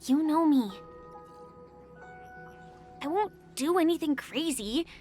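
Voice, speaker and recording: A woman voicing a sweet, gentle young woman in a video game, hopeful and quietly determined. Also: sits high, lively intonation, slow. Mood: sweet, upbeat. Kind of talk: scripted video game dialogue. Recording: faint game music behind.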